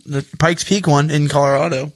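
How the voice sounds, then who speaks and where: A man with a slightly high voice talks as a podcast guest. He is conversational and casual as he shares bits of trivia. The sound is close and dry. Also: level tone, moderate pace, dry recording. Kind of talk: casual chat with read-out trivia facts.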